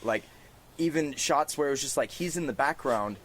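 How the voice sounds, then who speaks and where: A man's voice: medium pitch, lively intonation, moderate pace, a bit bright, slight background noise. A man hosting a casual podcast outdoors, telling stories in slangy, profane, exuberant language. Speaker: podcast host. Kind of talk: casual chat. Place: outdoors on a porch.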